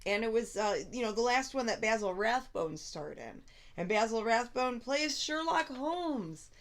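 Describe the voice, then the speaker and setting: A woman's voice: fairly high pitch, expressive, measured pace. A woman with a stuffy nose co-hosting a casual live stream, chatting and reading out viewer comments. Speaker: co-host.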